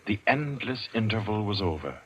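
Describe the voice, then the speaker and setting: An actor narrating a 1940s radio suspense drama in a solemn, measured storytelling manner. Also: male voice, on the low side, big pitch swings, a bit bright, slightly rough, heavily acted. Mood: tense, solemn. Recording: dull old radio recording with music. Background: music.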